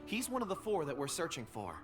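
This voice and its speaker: A gentle, polite young enthusiast in a video game. His earnest, dreamy delivery moves with lively intonation over clearly heard music. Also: a little high, fairly quick. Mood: curious, thoughtful.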